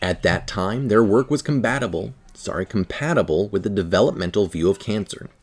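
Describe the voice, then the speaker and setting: A man reading a scientific article aloud in a careful, measured manner. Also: on the low side, lively intonation. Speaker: article reader.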